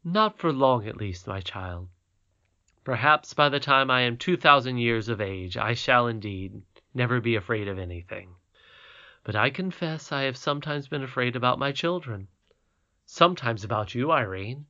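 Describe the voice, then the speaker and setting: A calm, wise and ancient great-great-grandmother from a bedtime storybook, read by a man in a voice close to his own. She speaks gently and reassures in a measured way. The sound is dull and lo-fi. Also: medium pitch. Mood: tender.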